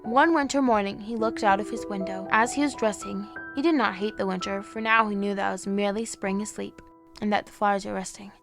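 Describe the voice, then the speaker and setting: An actress playing a young woman who reads a fairy tale aloud in a gentle storybook manner. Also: medium pitch, fairly even intonation, a little fast, gravelly, close to an everyday voice. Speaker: storyteller within the drama. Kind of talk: audio drama. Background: music.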